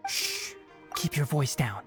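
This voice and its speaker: A man playing a brisk, no-nonsense young forest watcher and healer in a video game, matter-of-fact and lightly scolding. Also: medium pitch, faint music behind, lightly acted. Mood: hushed urgency.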